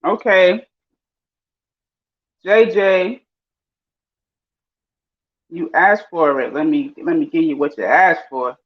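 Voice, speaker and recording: A woman's voice: slow pace, pauses often. Chatty Panamanian woman, lo-fi audio. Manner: lively, chatty, slangy, swings high when excited. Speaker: vlogger. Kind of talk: casual live-stream chat while cooking. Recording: dull lo-fi audio.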